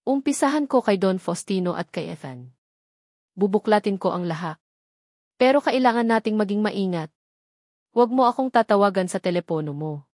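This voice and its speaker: Woman playing a cautious male investigator, Filipino accent, firm, serious, clear delivery, dramatic storybook dialogue, dry recording. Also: medium pitch, darkish tone, dry recording, lightly acted. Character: serious, cautious man, a sharp-minded investigator.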